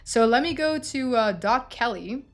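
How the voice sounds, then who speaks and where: A woman's voice: a little high, expressive, a little slow. A woman game streamer, moving between casual gameplay commentary and reading out story narration.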